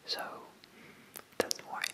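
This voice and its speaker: Breathy whisper, unhurried pace, hesitant phrasing, repeated words, ASMR creator talking to viewers. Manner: hesitant, halting delivery with repetitions.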